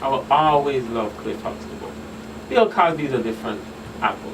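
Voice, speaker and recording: A man's voice: mid-range voice, expressive, moderate pace. A man speaking as a guest expert in a radio talk show discussion, emphatic and repeating phrases for stress. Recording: distant mics, loud air-conditioning hum.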